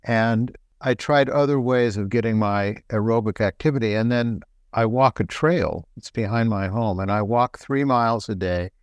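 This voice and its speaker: A middle-aged man, a doctor co-hosting a health talk show, explaining things in a relaxed, conversational way. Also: fairly low pitch, moderate pace, few pauses, smooth, no echo. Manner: explanatory, relaxed, laughs lightly.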